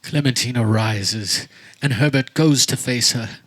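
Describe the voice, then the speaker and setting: A man playing the formal narrator of a mock-romantic radio play, reading florid scripted stage directions deliberately. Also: mid-range voice, a bit bright. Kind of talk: scripted radio theater narration before a live audience. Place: backyard, before a live audience.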